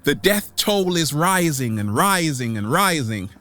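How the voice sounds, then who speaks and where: Slow, theatrical man with swooping pitch. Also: sing-song, sharp tone. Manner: theatrical, playful, repeating catchphrases, with drawn-out emphasis. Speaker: vlog host. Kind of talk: motivational monologue to online followers.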